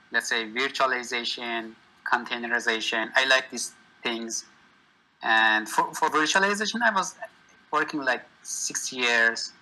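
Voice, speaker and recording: A man from Iran, a software engineer talking casually on a video call, friendly and pausing as he lists things. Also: medium pitch, poor audio. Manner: friendly, lists tech with short pauses. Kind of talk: casual networking chat.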